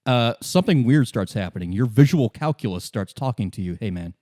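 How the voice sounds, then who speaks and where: A man acting as game master, narrating a tabletop game casually in a halting, conversational way. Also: medium pitch, lively intonation, warm tone, dry recording, clean studio sound.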